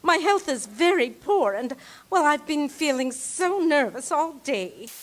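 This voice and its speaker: An actress as a nervous, sickly housewife in an old-time radio play. Her voice sits high and swoops around animatedly. She is fretful, flustered and insistent. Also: very animated, a little slow. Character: nervous, fretful invalid wife alone at home.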